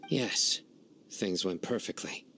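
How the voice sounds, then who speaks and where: A man voicing a stern, composed commanding officer in a video game, speaking in a terse, authoritative, deadpan way. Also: low-pitched, slow, noisy background.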